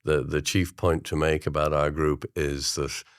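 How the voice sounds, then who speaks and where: A man's voice: rumbling low, little pitch movement, unhurried, clean tone, no room sound, studio quality. An older man with an Irish accent, chatting as an interview guest in a rambling, thoughtful, anecdotal way. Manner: rambling, thoughtful, often agreeing. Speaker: interview guest, amateur drama society member. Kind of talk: casual chat, anecdotal.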